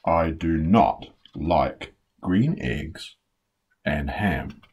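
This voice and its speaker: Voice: extremely low pitch, lively intonation, drawn-out words, darkish tone, breathy edge, full character voice. A performer voicing a grumpy, stubborn old male grouch with curmudgeonly, emphatic refusals in a picture-book read-aloud. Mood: exasperated.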